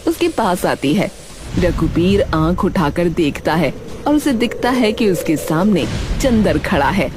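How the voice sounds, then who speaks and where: A woman's voice: lowish voice, animated, measured pace. A woman narrating a scripted story in Hindi storytelling fashion. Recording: loud background music. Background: music.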